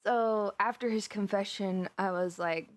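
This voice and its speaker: A flustered young villainess in an audio roleplay, acted by a woman, spilling gossip to her best friend. She is giddy and chatty, rambling along in an animated, confiding way at a middling feminine pitch. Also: measured pace, natural voice. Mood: bashful, flustered. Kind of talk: conversational audio roleplay monologue.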